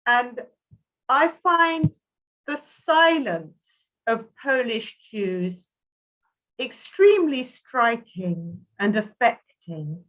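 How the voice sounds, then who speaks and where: A woman interviewer putting prepared questions in a measured, thoughtful way. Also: a little high, lively intonation, fairly bright tone. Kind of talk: prepared questions in a live conversation. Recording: dull, muffled audio.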